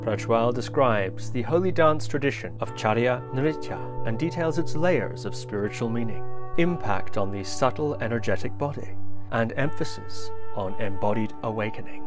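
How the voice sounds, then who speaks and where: A man hosting an interview podcast, speaking in measured, courteous and formally worded sentences. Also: mid-range voice, animated, over music. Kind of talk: scripted introduction, then interview questions.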